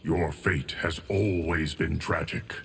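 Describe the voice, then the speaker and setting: An actor playing a grave, weary and dignified inhuman male warrior in a video game, gravelly and measured. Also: sits low, fairly even intonation, a bit bright, soft background music, exaggerated voice. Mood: solemn. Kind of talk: dramatic video game dialogue.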